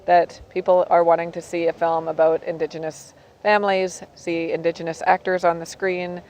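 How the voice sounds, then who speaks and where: A woman producer, speaking earnestly and warmly in an interview. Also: on the low side, a little hiss or hum. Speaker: film producer.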